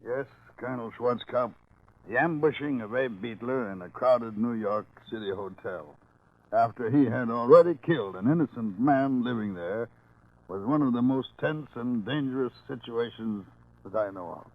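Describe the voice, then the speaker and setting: A man's voice: medium pitch, normal speed, close to an everyday voice. Solemn radio police inspector performed by a man, formal delivery, narrow tinny 1940s recording. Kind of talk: 1940s radio scripted testimony. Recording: thin, phone-like 1940s radio sound.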